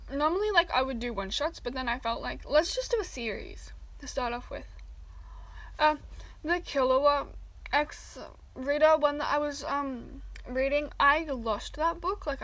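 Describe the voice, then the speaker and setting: High voiced girl rambling w lots of ums, eating while she talks, reading fanfic at home. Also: normal speed, a bit bright, rough recording. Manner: rambling, filler-heavy. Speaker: fan fiction reader. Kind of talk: reading fan fiction aloud and casual chat.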